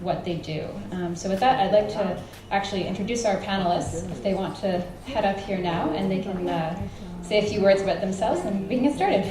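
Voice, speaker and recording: A woman's voice: medium pitch, a little fast, hardly any pauses. A woman moderator introducing a panel, casual with fillers. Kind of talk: event introduction. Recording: echoey hall.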